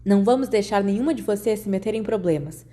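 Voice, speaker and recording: A woman's voice: mid-range voice, fast talker, dark tone, lightly acted. A woman voicing a mischievous schoolboy ringleader with a playfully pompous, mock-formal manner, in an audiobook. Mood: reassuring.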